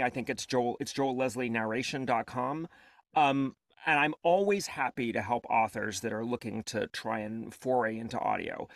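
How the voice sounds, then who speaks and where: A man's voice: medium pitch, vocal fry, no echo. A man who narrates audiobooks, talking expressively on a video call from a home studio with acoustic foam, with a headset. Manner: expressive, gestures a lot. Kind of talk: animated panel talk. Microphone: earbud headset on video call.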